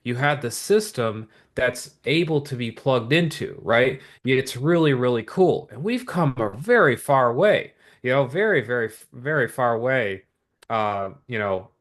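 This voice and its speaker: A man pitches a business opportunity to an online audience with steady, eager energy. He keeps circling back on his points, tossing in quick asides and rhetorical questions. His voice sits at an ordinary middle pitch for a man. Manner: energetic, repetitive motivational patter with many asides. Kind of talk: upbeat sales pitch to viewers.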